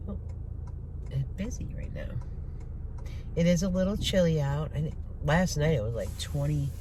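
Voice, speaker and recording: A woman's voice: deep voice, expressive, normal speed. A woman vlogger chatting casually and giving her opinions while she drives, a little congested from a head cold. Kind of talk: casual chat while driving. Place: inside a car while driving. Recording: loud car and road noise. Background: road and traffic noise.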